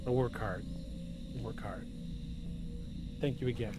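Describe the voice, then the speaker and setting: A man's voice: medium pitch, fairly even intonation, unhurried, poor audio. A man hosting a live podcast from home, effusive and given to repeating himself for emphasis, moving between scripted narration and casual asides. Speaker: podcast host. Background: music.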